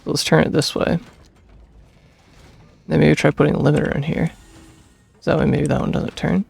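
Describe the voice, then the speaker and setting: A young man streaming a game, reading its text aloud and commenting casually, with a creaky, husky voice. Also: a little high, flat delivery, moderate pace. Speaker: gaming streamer. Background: soft game music.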